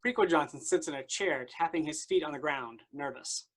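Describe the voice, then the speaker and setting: A man's voice: a little high, brisk, a bit bright. A man reading scripted stage directions as the narrator of a staged play reading.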